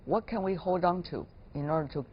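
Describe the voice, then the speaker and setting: Woman host w a low voice asking interview questions, measured, muffled audio n some noise. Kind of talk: broadcast interview, conversational questioning. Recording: dull lo-fi sound with clear noise.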